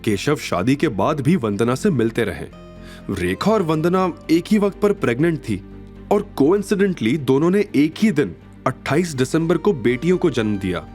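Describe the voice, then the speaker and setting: A narrator plays a respectful male assistant in an audio drama. He reports in a formal, explanatory manner with animated pitch over faint music. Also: normal speed. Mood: earnest. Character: respectful male aide reporting investigation findings.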